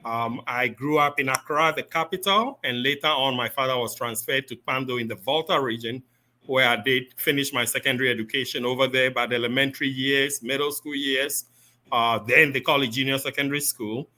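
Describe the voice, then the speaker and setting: A man from Ghana, a pastor, answering interview questions with steady, detailed storytelling about his upbringing. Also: medium pitch, fairly quick. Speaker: pastor, guest.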